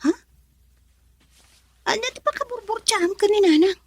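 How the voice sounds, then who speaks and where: An actress with an Ilocano accent playing a feisty younger sister in a radio drama, questioning and nagging expressively. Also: female voice, very high-pitched, normal speed, dry recording. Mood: puzzled. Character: feisty, scolding younger sister of a grown brother.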